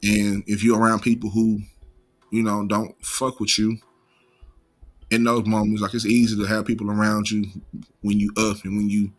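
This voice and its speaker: An American man with a slight stutter, talking off the cuff as an online commentary host in slangy, profane, casual language. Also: on the low side. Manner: imperfect pronunciation of some words.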